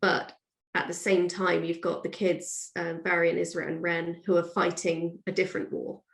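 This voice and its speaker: A woman's voice: on the low side, flat delivery, moderate pace, creaky. A woman author being interviewed, chatting casually and thinking aloud in a discursive way. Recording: roomy, echoey sound.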